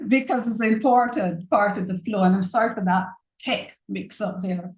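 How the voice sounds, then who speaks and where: A woman from Barbados with a Barbadian accent, giving an earnest, personal online presentation on governance. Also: mid-range voice. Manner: earnest, personal, measured speaker. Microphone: video call. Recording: roomy echo on a video call.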